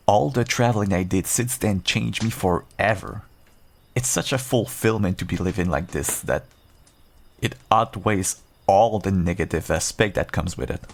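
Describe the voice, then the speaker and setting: A man playing a seasoned werewolf adventurer, gruff but kindly and wry, talking straight to the listener in an audio roleplay. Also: sits low, a little hiss or hum. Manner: reassuring, wry, bantering. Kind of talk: audio roleplay, one-sided dialogue addressed to listener.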